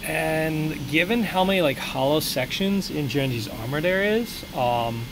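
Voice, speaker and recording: A man's voice: a little high, animated, unhurried, background noise. A young man thinking aloud with many fillers while he works on a live stream from a small room at home. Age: young adult. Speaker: streamer modeling a prop. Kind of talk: casual live-stream commentary while working.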